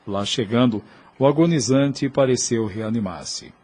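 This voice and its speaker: A man narrating a scripted audio novel in a solemn, measured storytelling manner. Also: medium pitch, a little mellow, breathy edge.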